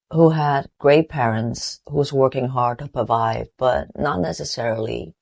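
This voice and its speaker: A woman in her forties from Taiwan with a Taiwanese accent, giving an earnest, reflective motivational talk with halting restarts. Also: extremely low pitch, creaky, a little mellow. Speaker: motivational vlogger.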